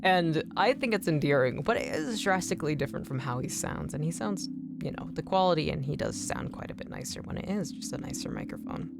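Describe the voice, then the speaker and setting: Low-voiced slightly raspy woman streamer. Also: lively intonation, a little fast. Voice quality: slightly raspy, a bit nerdy and dorky. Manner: rambling, encouraging, lots of "like" and restarts. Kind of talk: casual chat to stream viewers while drawing. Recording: music playing in the background. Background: music playing.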